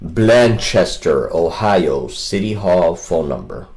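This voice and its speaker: A man's voice: low voice, expressive, takes it slowly, darkish tone. A man rambling casually as a livestream host, with a combative, mocking and coarse way of talking.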